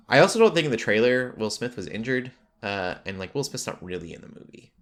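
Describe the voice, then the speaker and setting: A man's voice: mid-range voice, sing-song, normal speed. A man hosting a casual pop culture podcast, talking in a rambling way that drifts into tangents. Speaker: podcast host.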